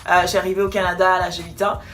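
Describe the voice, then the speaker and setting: A woman's voice: lowish voice, flat delivery, rapid-fire, fairly bright tone, faint music behind. A young woman telling a personal story in a direct, emphatic way during a casual chat. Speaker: co-host.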